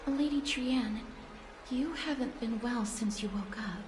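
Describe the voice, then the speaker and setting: A woman voicing a polite, composed young woman in a video game, speaking in a formal, measured way. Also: on the high side, roomy sound, background noise, natural voice. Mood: calm.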